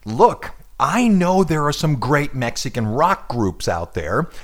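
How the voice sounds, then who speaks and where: A man's voice: fairly high pitch, sing-song, slow pace. A man hosting a radio music show in casual chat, joking and rambling with self-deprecating asides.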